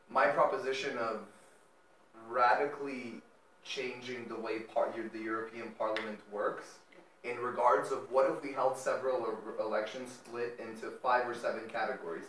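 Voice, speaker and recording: A man's voice: mid-range voice, a bit bright. A young man from Serbia, a student, earnestly laying out a long proposal as an audience question at a panel. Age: young adult. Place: lecture room. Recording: echoey room, distant mic, faint noise.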